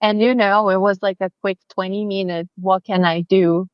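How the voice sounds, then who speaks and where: A woman's voice: medium pitch, fairly even intonation, normal speed, smooth, no echo, highs cut off. A woman from Brazil with a Brazilian accent, chatting in an interview about her photography work, friendly and full of fillers and restarts. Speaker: family photographer, guest. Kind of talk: casual interview chat.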